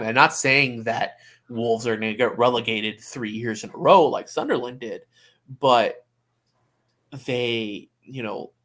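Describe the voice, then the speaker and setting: A man's voice: mid-range voice, creaky, a bit bright. A man co-hosting a sports podcast in casual, opinionated conversation, often restarting his phrases. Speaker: soccer podcast co-host.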